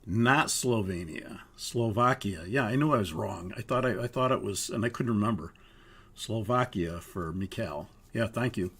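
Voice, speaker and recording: Hoarse gravelly older man teaching. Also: lowish voice, a bit bright. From Minnesota, US. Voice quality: gravelly, husky. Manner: halting, with frequent uhs. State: hoarse from a cold. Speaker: flight training instructor. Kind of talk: live online course walkthrough.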